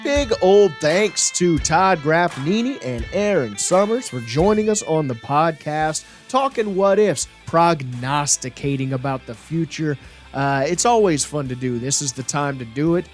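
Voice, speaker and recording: A man's voice: a little high, expressive, unhurried, soft background music. A man hosting a sports podcast, chatting in an informal, jokey, slangy way. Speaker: podcast host. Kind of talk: casual sports podcast chat.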